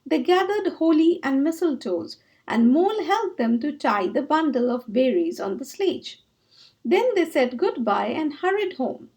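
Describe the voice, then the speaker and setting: A woman reading a children's story aloud in expressive storybook narration. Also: high voice.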